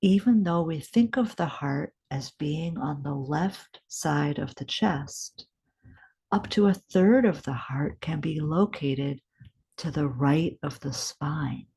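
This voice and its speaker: A woman leads a guided meditation in a low, husky voice. She speaks very slowly and gently, leaving deliberate pauses between short instructional phrases. Her tone is soft, with breathy edges.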